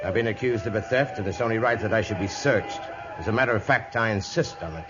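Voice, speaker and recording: An actor playing a weary but steadfast missionary reverend in 1950s radio drama, calm and earnest. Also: male voice, on the low side, quick pace, background noise, close to an everyday voice. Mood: calm, resigned. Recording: muffled old radio recording.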